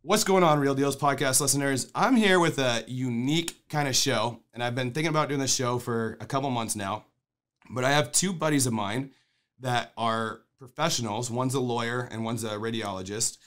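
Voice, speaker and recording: Man, podcast host, stuffy from a cold, rambling, upbeat, mid-pitched. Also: moderate pace, fairly bright tone. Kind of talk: casual podcast chat.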